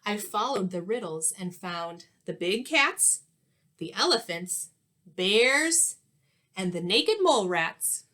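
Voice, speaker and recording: Very animated, slow-reading woman storyteller. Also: medium pitch. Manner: expressive, sing-song, pausing to ask listeners questions. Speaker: teacher reading aloud to children. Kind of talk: children's storybook read-aloud.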